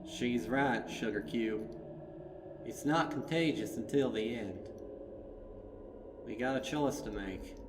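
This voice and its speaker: A man's voice: medium pitch, slow pace, pauses often, roomy sound, background noise, close to an everyday voice. A man voicing a plainspoken, resolute female farm pony with a folksy, grave manner in a horror story. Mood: grave, resigned.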